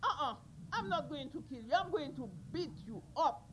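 A woman voicing an excitable, greedy farmer man who shouts exclamations in a folk tale. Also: high-pitched, normal speed, background noise. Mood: agitated. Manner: loud exclamations, threatening.

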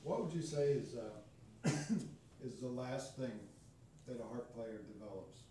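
A man's voice: mid-range voice, expressive, unhurried, dark tone, slight background noise. A man interviewing a musician, asking curious follow-up questions in a casual way. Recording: roomy, distant with faint ambience.

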